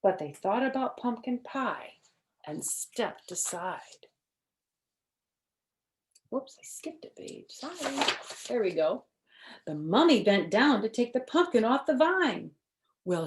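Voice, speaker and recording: A woman storyteller reading a picture book aloud for children in an expressive, deliberate, teacherly way. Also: a little high, a little hiss or hum. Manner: expressive, deliberate, simple phrasing. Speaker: storyteller, first-grade teacher.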